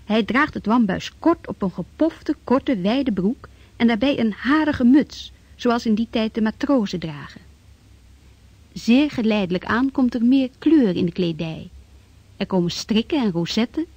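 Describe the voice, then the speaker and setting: A woman's voice: fairly high pitch, lively intonation, measured pace, darkish tone, breathy edge, dry recording. A woman giving scripted narration for a radio drama, in a dry, slightly dull recording.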